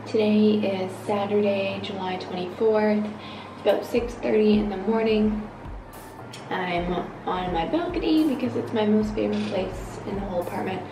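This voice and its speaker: A woman's voice: mid-range voice, normal speed, distant mic, background noise. Young woman vlogger, sleepy morning voice, casual chat, roomy balcony sound. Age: 20s. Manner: relaxed, rambling, many fillers. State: groggy, just woke up. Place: apartment balcony, outdoors.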